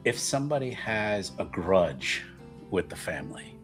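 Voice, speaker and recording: A man's voice: low voice, sing-song, a little slow, a bit bright, soft background music. A man chatting casually as a player in a tabletop roleplaying game, thinking aloud in a hesitant way.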